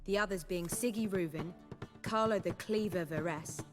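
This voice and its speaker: A woman's voice: lowish voice, moderate pace, over music, natural voice. An actress playing a witty, poised female bard, articulate and wry, in a dramatic fantasy video game. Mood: concerned. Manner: articulate, wry, theatrical wording.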